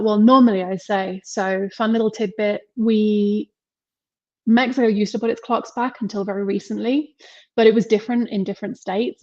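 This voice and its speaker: A woman's voice: mid-range voice, a little mellow, no room sound, muffled recording. A woman with an English accent, a marketing mentor and podcast guest who chats in a rambling way and laughs often. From England. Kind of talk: casual interview chat.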